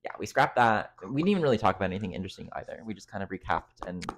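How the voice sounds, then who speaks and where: A man's voice: lowish voice, expressive, very fast. A man co-hosting a casual podcast, chatty and playful as he recaps a night out. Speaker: podcast co-host. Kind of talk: casual chat.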